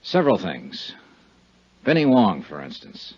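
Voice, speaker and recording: A tough male investigator, American, low animated voice, clipped hard-boiled delivery, dull 1950s radio drama recording. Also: measured pace, slightly rough, no room sound, exaggerated voice. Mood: businesslike. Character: tough, wry, unflappable male insurance investigator. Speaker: lead actor and narrator of a radio serial.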